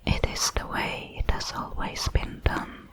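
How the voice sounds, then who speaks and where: A woman voicing a curious, solemn alien creature, a shard of a hive mind, in a whispered, halting ASMR sci-fi roleplay. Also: natural voice. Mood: solemn wonder. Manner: slow, deliberate, halting over its words.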